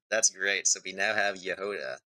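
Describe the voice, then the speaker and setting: A man's voice: low voice, moderate pace, sharp tone, low-quality recording. A man streaming a game, talking casually as he thinks aloud, reads out on-screen text and asks himself questions. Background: music.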